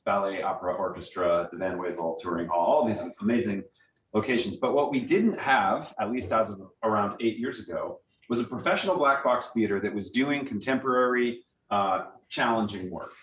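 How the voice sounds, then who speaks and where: A man giving an informal guest talk to a group, explaining things conversationally and addressing his listeners directly. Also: a bit bright. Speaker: guest speaker, theatre director. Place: meeting room, speaking to a live group. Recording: distant echoey room with rumbling noise.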